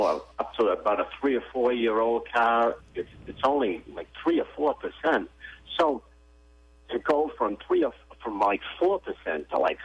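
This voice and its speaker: A man calling in to a radio show, indignant and animated. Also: medium pitch, husky, lo-fi sound. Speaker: caller. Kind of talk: call-in radio chat.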